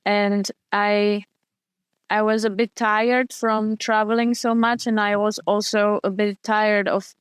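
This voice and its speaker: Smooth-voiced young woman, hesitant speech. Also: medium pitch, level tone, slow pace, no echo. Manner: hesitant, many restarts and fillers. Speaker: guest, wing foil and kiteboard athlete. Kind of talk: casual interview answers.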